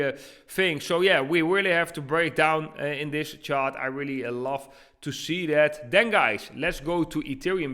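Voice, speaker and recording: Higher-voiced man giving emphatic market commentary. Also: a bit bright. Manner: emphatic, repeats words for stress. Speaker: host of a crypto trading channel. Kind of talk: informal chart analysis commentary for viewers.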